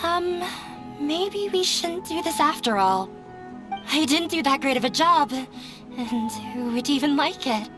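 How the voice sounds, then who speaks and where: A woman playing a shy, jumpy, self-doubting young woman in a video game, halting and flustered. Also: high voice, lively intonation. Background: music.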